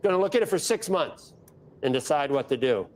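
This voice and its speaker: A man anchoring a business news interview, halting with fillers and exasperated asides about the government. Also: fairly high pitch, expressive, a little mellow.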